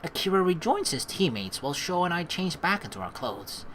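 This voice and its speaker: A woman's voice: on the low side, very animated, brisk, slight background noise. A young woman hosting a let's play, teasing and slangy as she mixes casual commentary with reading the game's narration aloud. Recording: faint game music behind the voice.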